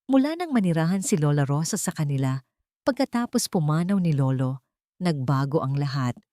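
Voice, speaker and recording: A woman's voice: low-pitched, sing-song, moderate pace, warm tone, no room sound, clean studio sound. A woman narrating a scripted dramatic family story as a storyteller.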